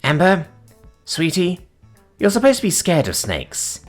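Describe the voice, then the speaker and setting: A man's voice: sits high, huge pitch swings, unhurried, faint music behind, voice pushed up, exaggerated voice. A man voicing a flustered female starship captain and protective mother, exasperated and frazzled. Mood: bewildered.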